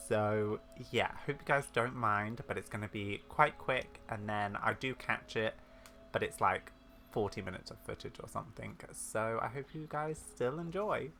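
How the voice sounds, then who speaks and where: A game content creator doing a casual, informal, slightly apologetic voice-over for viewers. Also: mid-range voice. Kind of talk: casual voice-over reading game quest text. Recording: steady background noise.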